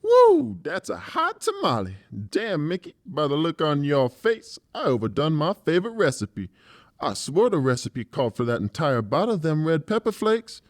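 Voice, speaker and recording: A male actor as a huge professional torturer from an audiobook thriller. He is theatrical and menacing, with a very animated pitch. The sound is dry and close. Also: moderate pace, no echo. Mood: taunting glee. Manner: slangy, showy and taunting. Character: large, gleefully sadistic professional torturer who plays the comedian. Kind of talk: dramatized audiobook character acting.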